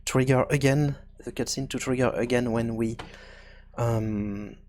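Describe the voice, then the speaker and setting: A man giving an informal software tutorial, thinking aloud in a halting, self-correcting way. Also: medium pitch, fairly even intonation, a little hiss or hum. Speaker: tutorial presenter.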